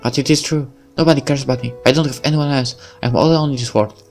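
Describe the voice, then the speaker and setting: A man's voice: mid-range voice, fairly even intonation, fast talker, a little mellow, soft background music, voice pushed up, natural voice. A man voicing a grieving young woman agent who blames herself, tearful and self-deprecating, reading a visual novel. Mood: despairing.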